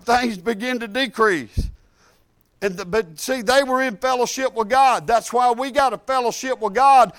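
A man in his late fifties preaching a sermon, animated, rising to loud exhortation and dropping to quiet asides. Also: very high-pitched, no room sound. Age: 50s.

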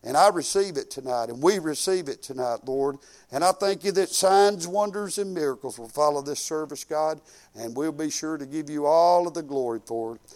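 A man in his fifties with a Southern US accent preaching informally, folksy and conversational, swinging emphatically between quiet asides and loud declarations. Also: a little high, normal speed. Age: 50s. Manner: folksy and conversational, peppered with praise exclamations. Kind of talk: informal sermon with personal anecdotes and prayer.

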